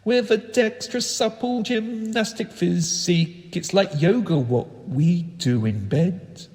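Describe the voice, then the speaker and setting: A man performing comic spoken-word poetry in a theatrical, whimsical and deliberate manner. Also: sits high, animated, a little mellow, slightly rough. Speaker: performance poet.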